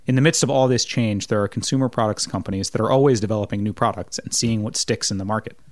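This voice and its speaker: A man hosting a podcast, reading a measured intro and then asking questions with some hesitation. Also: lowish voice, no echo. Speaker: podcast host. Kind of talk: scripted intro then casual interview.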